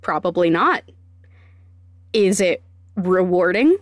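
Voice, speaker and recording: A woman's voice: lowish voice, lively intonation, slow pace, dry recording, clean studio sound. A woman hosting a podcast, giving scripted explanations with wry, self-deprecating asides. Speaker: podcast host and sound designer.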